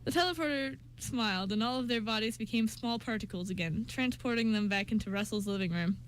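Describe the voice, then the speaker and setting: A woman with a fairly high voice narrates a scripted radio drama. She reads the story in the third person between the characters' lines. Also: fairly quick, slight background noise, poor audio.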